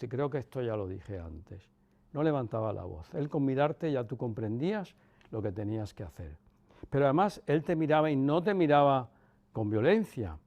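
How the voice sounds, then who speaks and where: Guy in his 60s, cuban accent gone a bit castilian, middle pitched voice, looking back on his life, pauses and repeats for emphasis. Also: darkish tone. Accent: Cuban softened by Castilian Spanish. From Cuba. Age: 60s, about 64. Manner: measured, pausing, emphatic repetition. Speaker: interviewee. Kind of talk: reflective personal reminiscence.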